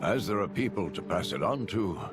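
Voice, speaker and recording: A man's voice: on the low side, big pitch swings. A man voicing a devoted, philosophical retainer in a video game, speaking formally and deferentially. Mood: wise, composed. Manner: formal, deferential, measured wording. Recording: prominent music behind the voice.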